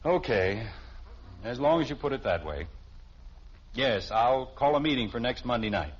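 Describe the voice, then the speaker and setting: A man's voice: mid-range voice, animated, moderate pace, lightly acted. An actor playing an exasperated middle-aged suburban father with an American accent, flustered and wry, in 1950s radio sitcom style. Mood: flustered, placating. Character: exasperated middle-class suburban father, easily put upon. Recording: dull, hissy old radio recording.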